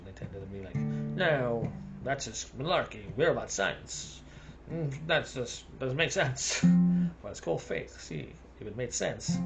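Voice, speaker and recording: A man's voice: medium pitch, creaky, rough recording. A man with a South African accent rambling through a casual monologue, chuckling between his thoughts.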